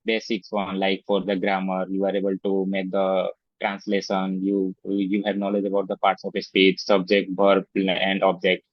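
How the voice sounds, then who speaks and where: Hesitant, low-voiced man. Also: monotone, no echo. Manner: halting, hesitant, many fillers and restarts. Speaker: guest, English learner. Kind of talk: casual video-call interview. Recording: dull, dry video-call audio.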